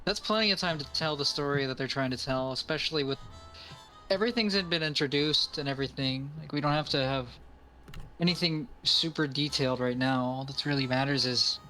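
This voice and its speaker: Bright-voiced man chatting over music. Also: mid-range voice, brisk, poor audio. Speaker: streamer chatting with friends. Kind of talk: casual chat. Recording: music behind the voice.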